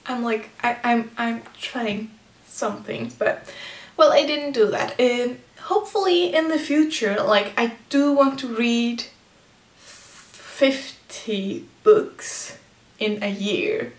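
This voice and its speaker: A woman's voice: on the high side, gravelly. A woman of twenty chatting casually to camera from a small indoor room, talking with lots of "like" and "um" fillers. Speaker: vlogger. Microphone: camera framed head and shoulders. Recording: echoey room.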